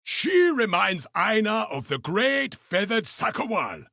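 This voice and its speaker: A man's voice: high-pitched, sing-song, takes it slowly, gravelly, pushed and bright, no room sound, clean studio sound, full character voice. A man playing a boisterous, eccentric, cheerfully mad beast hunter in a video game, talking with theatrical exuberance. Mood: fond, boastful. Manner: third-person self-talk, theatrical, exuberant delivery. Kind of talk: video game character voice lines.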